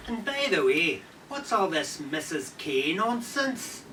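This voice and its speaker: A woman's voice: on the low side, big pitch swings, slow. A woman playing a concerned, nagging mother in a radio drama, coaxing and exasperated, calling from some way off. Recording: distant, muffled-off voice with background noise.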